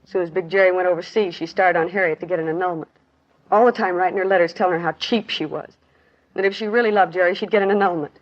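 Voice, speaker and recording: A woman's voice: fairly low pitch, steady tone, quick pace, lightly acted. Actress as weary woman in a dull vintage radio drama. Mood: bitter, sad. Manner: plain clipped sentences, colloquial grammar. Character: weary working-class woman, grieving witness telling a friend's tragedy. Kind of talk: 1950s radio drama acting. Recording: dull 1950s radio recording.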